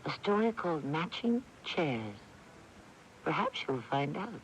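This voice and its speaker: An American man hosting a radio mystery show, giving a measured, ominous scripted introduction on a hissy 1980s AM broadcast. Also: a little high, big pitch swings, rough recording. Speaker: host of a radio mystery anthology.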